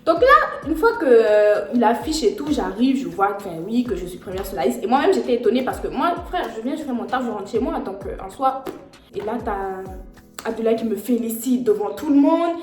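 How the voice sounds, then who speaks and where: A young woman vlogger telling a storytime in an animated, slangy way. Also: a little high, a little fast. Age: young adult. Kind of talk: storytime vlog. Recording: echoey room with faint music.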